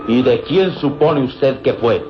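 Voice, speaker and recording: An actor playing an earnest, inquisitive companion of a hero in a radio serial, questioning in a formal, insistent way. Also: male voice, medium pitch, lively intonation, slow, muffled recording, background noise. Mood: insistent, suspicious. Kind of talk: vintage radio serial acting. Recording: band-limited radio recording, loud music.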